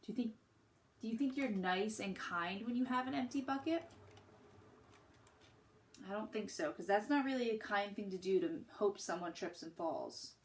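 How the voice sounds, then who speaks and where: A woman teacher reading a picture book to children and pausing to put questions to her listeners. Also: medium pitch, a little hiss or hum.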